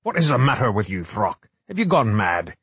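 A man plays a threatening Scottish museum official in an audiobook. The man's delivery is biting and contemptuous, husky in tone, with indignant leaps in pitch. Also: animated, moderate pace, fairly bright tone, no room sound. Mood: vicious, hissing. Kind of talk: audiobook character acting.

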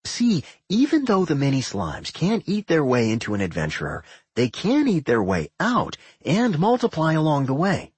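A gleeful tentacled dungeon monster, pitched high and swooping wildly. The creature is excitable and manic, exclaiming everything with eager encouragement in a fantasy storybook. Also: male voice, normal speed, breathy edge, no echo, full character voice. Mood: eager explaining.

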